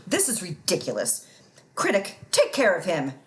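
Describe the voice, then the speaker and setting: A woman's voice: lowish voice, wildly swooping pitch, measured pace. A woman acting a haughty, scheming society wife in a radio play, imperious and melodramatic. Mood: exasperated.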